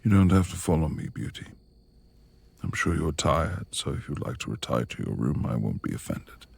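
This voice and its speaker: A reclusive lord of the manor, acted by a man, speaks in an extremely low rumble thick with gravel. His hushed, husky delivery is formal and halting, a brooding figure with tenderness under the gruffness, made for intimate romance audio roleplay. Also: animated, no room sound, heavily acted. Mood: guarded.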